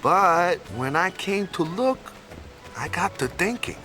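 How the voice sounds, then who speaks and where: A man's voice: high-pitched, sing-song, slow pace, pushed and bright, faint music behind, exaggerated voice. A laid-back young island guy. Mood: wistful. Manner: easygoing, trailing "yeah?" tags. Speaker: game character.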